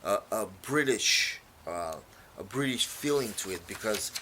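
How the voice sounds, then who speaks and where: Halting Romanian-born man explaining his poem. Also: on the low side, animated, pushed and bright, a little hiss or hum. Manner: halting, many fillers and repeated words. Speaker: poet.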